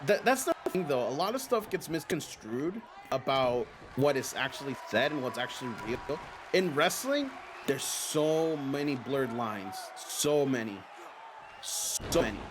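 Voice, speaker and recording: A man whose first language is Spanish, hosting a live stream and commentating a simulated wrestling game in an excitable, chatty way. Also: medium pitch, a little slow. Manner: excitable, chatty, reads viewer comments aloud. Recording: noisy background.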